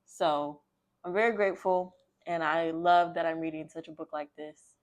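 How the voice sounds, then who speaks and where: A woman with a fairly low-pitched voice hosts a read-aloud of children's picture books. She talks conversationally and tends to wander into personal asides. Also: fairly even intonation, moderate pace.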